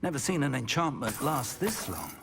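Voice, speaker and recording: A curious wizard mentor. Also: male voice, medium pitch, big pitch swings, moderate pace, creaky, fairly bright tone, a little hiss or hum. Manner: thoughtful, inquisitive.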